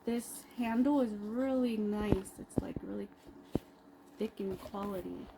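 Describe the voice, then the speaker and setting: Slow-talking woman reviewing a product, noisy background. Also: medium pitch. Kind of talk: casual unboxing review, improvised. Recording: clearly noisy background ambience.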